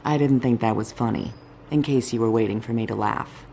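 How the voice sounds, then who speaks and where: A woman voicing a stern, composed police detective in a video game, with a firm, measured manner. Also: rumbling low, creaky, dark tone, a little hiss or hum. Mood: controlled, stern.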